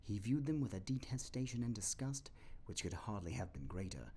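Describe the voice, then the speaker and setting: Low, mellow, expressive male storyteller. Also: brisk, breathy edge. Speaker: narrator. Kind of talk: dramatic scripted storybook narration.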